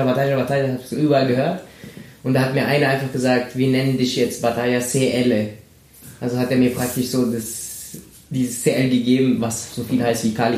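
A young man from Colombia talks casually as a guest, in a mid-range voice. He is relaxed and hesitant and leans on fillers between thoughts. The recording carries a roomy echo. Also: mid-range voice. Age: 20s. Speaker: dance coach, guest. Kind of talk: casual interview talk.

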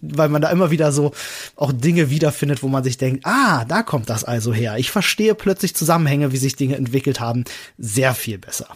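A man's voice: mid-range voice, brisk, breathy edge. A man co-hosting a podcast in casual chat, talking enthusiastically and leaning on emphatic, repeated intensifiers. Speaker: podcast co-host.

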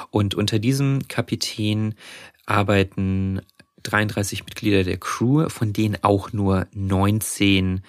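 Deep-voiced man explaining on a podcast. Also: vocal fry, no echo, studio quality. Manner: chatty, explains at length with frequent restarts. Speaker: podcast co-host, presenter of the story. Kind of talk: casual podcast conversation.

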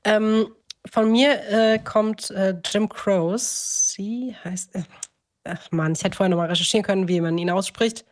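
A woman's voice: mid-range voice, steady tone, unhurried. A woman co-hosting a podcast in a casual chat, talkative and often correcting herself mid-sentence. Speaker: podcast co-host.

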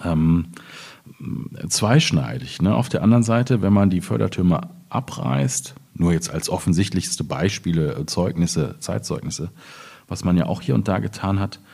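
A man in a reflective literary discussion, speaking hesitantly and deliberately as he searches for his words. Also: low voice, expressive, gravelly, a little mellow, slightly rough. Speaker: author in panel discussion.

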